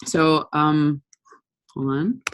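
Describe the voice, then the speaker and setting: A young woman from Brazil giving an informal webinar talk over a video call, conversational and relaxed with frequent ums. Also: deep voice, very slow, a little hiss or hum, low-quality recording. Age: young adult. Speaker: webinar presenter.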